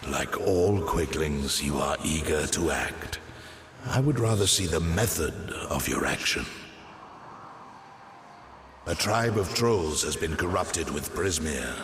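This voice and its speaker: An ancient, colossal tree guardian from a fantasy game. The voice is extremely low and unhurried, grave and cryptic, with stately phrasing. It rings out in a long reverb. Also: male voice, expressive, fairly bright tone, a little hiss or hum, full character voice. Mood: solemn, watchful. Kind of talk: scripted fantasy game dialogue.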